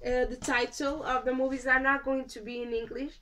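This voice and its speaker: A woman's voice: high voice, flat delivery, brisk, bright, forceful tone, a little hiss or hum. A young woman from Portugal with a Portuguese accent, chatting casually about movies she watched, with many fillers and restarts. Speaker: vlogger. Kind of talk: casual movie review vlog.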